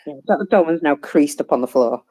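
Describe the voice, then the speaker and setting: A woman's voice: deep voice, lively intonation, a little fast, mellow. A woman chatting casually on a live audio space, hesitant with many fillers and a dry wit. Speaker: panelist.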